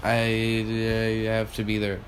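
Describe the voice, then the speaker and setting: A man co-hosting a casual podcast, easygoing and thinking out loud as he talks. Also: fairly low pitch, completely flat delivery, takes it slowly, slight background noise. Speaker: podcast co-host.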